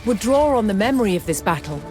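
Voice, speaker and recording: A woman reading scripted documentary narration in a measured, dramatic storytelling manner. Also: medium pitch, lively intonation. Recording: music under the voice. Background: music.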